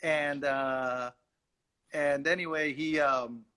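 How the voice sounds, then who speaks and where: Animated young man with a bright voice. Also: a little high, slow, smooth. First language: Urdu. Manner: animated, acts out voices in his anecdotes. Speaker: actor, guest. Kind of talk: casual storytelling in an interview.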